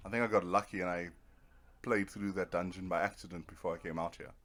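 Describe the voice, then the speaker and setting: A man's voice: low voice, steady tone, fast talker, fairly bright tone. A man giving casual, rambling commentary over a video game, with a dry, self-deprecating sense of humor. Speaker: video game let's player.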